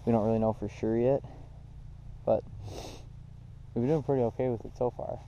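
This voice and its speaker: A man's voice: medium pitch, steady tone, moderate pace, dark tone, slight background noise. A young man, casually narrating an ice fishing vlog outdoors on a frozen lake, chuckling often. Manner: laid-back, chuckling often. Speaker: fishing vlogger. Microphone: action camera. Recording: muffled outdoor camera audio.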